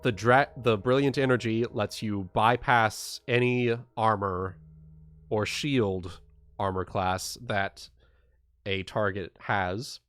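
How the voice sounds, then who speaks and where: Slow, low-voiced man running a game. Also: steady tone, a bit bright. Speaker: game master. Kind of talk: narrating and explaining a tabletop game.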